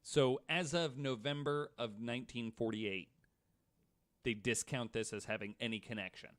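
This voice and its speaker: A man's voice: medium pitch, steady tone, moderate pace, a bit bright. An American man co-hosting a podcast, telling a story from notes with casual banter. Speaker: podcast co-host.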